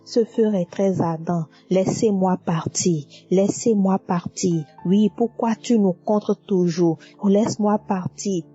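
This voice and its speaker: A woman's voice: mid-range voice, takes it slowly, hardly any pauses, warm tone, breathy edge, highs cut off, faint music behind. A woman voicing a tormented evil spirit that keeps begging to be let go as it burns. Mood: pleading. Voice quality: pleading, repetitive wailing.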